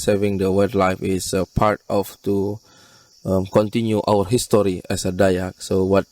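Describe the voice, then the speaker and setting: A man from Borneo with an Indonesian accent, giving earnest, halting interview answers in non-native English. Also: on the low side, a little mellow, dry recording, far from the mic. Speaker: conservation group leader being interviewed.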